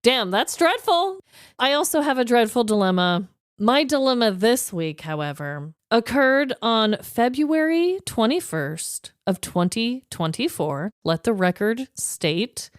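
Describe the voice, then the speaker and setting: A woman with a fairly high, very animated voice banters casually as a comedy podcast co-host. Her delivery is playfully mock-dramatic, and the sound is clean and dry. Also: measured pace, clean tone, dry recording.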